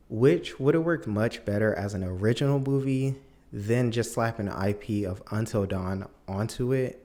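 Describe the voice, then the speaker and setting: A man's voice: fairly low pitch, a little mellow. A man giving a casual, opinionated film review as a video commentator, with an emphatic, incredulous, conversational manner.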